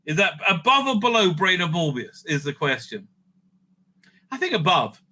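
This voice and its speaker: A man giving casual, rambling fan commentary as he ranks things, excitable and sweary, sometimes muttering to himself. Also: high-pitched, moderate pace. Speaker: host of a fan ranking show.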